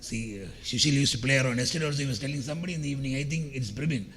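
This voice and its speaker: A man's voice: medium pitch, racing, fairly bright tone. A middle-aged man with a scratchy voice, giving a chatty, joking motivational keynote to an audience. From India. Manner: chatty, anecdotal and joking, addressing the audience directly. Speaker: keynote speaker. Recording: long hall reverb with faint background noise.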